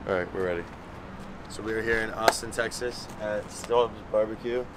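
A man's voice: on the low side, fairly even intonation, moderate pace. A young man in his twenties vlogging on tour, talking loosely and joking while losing his voice. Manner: loose, joking, laid-back. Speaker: touring rapper vlogging. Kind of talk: casual tour vlog chat. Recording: loud background noise.

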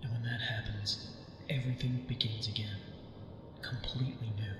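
A man voicing a weary prisoner held for a long time, calm and cryptic, in a video game cutscene, speaking in a hushed, half-whispered, measured way. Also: mid-range voice, music in the background, natural voice. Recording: cavernous echo with long reverb and ambient noise.

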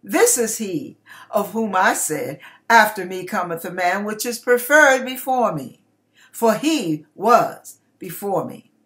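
Slow, dramatic older woman reading scripture. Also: medium pitch, animated, a bit bright. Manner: deliberate, emphatic, acts out the quoted dialogue. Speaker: online ministry host reading scripture aloud. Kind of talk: expressive, dramatic Bible reading in King James English. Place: small curtained room indoors. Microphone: webcam at desk distance.

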